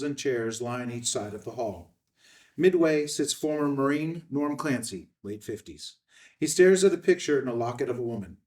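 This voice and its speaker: Guy reading screenplay directions out loud, medium pitch, a little far from the mic. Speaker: stage directions narrator. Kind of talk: scripted narration at a table read.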